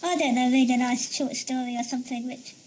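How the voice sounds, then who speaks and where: A woman in a casual conversation, asking questions as a guest with halting, hesitant phrasing. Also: sits high, fairly even intonation, darkish tone, a little hiss or hum.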